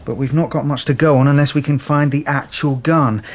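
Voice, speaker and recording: An actor playing a matter-of-fact, world-weary police detective in a naturalistic radio drama, casual and pragmatic. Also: male voice, fairly even intonation, fairly quick, lightly acted. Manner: casual, pragmatic, low-key.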